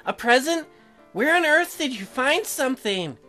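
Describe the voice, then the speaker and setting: A man's voice: extremely high pitch, expressive, normal speed, pushed and bright, pitched above the performer's own voice, full character voice. A man voicing a blunt young newlywed woman colonist in a visual novel, questioning and easily put out, hamming it up. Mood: surprised. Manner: indignant, questioning. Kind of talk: hammy visual novel character read-out. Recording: music behind the voice.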